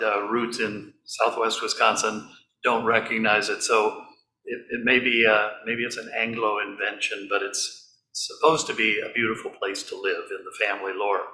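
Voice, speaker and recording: A man giving an informal talk to a group, relaxed and halting, with many fillers. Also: lowish voice. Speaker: speaker leading a group talk. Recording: echoey room.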